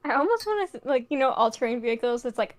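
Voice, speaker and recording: A woman's voice: fairly high pitch, sing-song, racing. A woman thinking aloud and laughing as she brainstorms lines in a casual chat.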